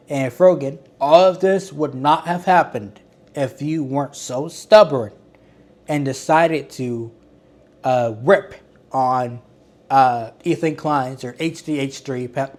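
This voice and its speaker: A man talking casually as the host of an online commentary channel. He sounds exasperated, rambles, restarts his sentences often, and calls his own voice monotone. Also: mid-range voice, slow. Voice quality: self-described monotone. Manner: rambling, with frequent restarts and filler words; exasperated and critical.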